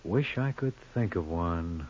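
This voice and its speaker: An actor playing a shrewd, easygoing criminal lawyer with wry, bantering delivery in an old-time radio crime drama. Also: male voice, sits low, lively intonation, slow pace, a little mellow, breathy edge, no echo, lo-fi sound, heavily acted.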